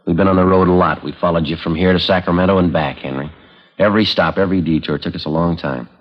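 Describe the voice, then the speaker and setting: A muffled vintage radio recording carries a very deep-voiced American police sergeant. He is methodical and no-nonsense, laying out facts in clipped, deadpan 1950s procedural style. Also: fast. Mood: firm, factual. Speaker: lead actor and narrator of a police radio drama. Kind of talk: 1950s radio acting, matter-of-fact procedural narration.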